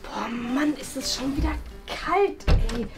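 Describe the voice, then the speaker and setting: A woman's voice: sits high, measured pace. A young woman playing a grumpy, slangy, tough schoolgirl who grumbles in a comedy sketch. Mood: grumbling. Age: young adult. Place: indoors, small classroom. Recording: music behind.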